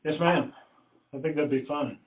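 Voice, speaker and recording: A man speaking haltingly and politely as a staff official running a formal committee meeting on a conference call. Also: on the high side. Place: conference call, roomy sound. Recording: muffled, echoey conference call line.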